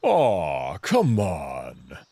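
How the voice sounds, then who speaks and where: A man voicing a proud, confident young alien wolf prince in a visual novel, friendly but full of hubris, speaking with expressive self-assurance. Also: sits low, drawn-out words, breathy edge, no room sound, heavily acted. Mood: playful, eager.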